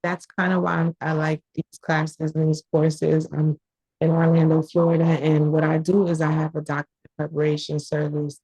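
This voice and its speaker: A woman whose first language is Haitian Creole speaks hesitantly and candidly over a video call. Her voice is very low and husky, with a flat melody and a dry sound. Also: normal speed, dry recording, low-quality recording. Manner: hesitant, candid, many fillers. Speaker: class participant, small business owner. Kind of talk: casual chat.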